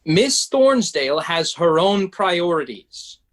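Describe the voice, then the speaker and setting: Actor as lively, insinuating male banker. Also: high-pitched, slow pace, exaggerated voice. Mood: needling. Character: profit-minded insinuating male bank colleague, smooth and needling. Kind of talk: musical table-read dialogue.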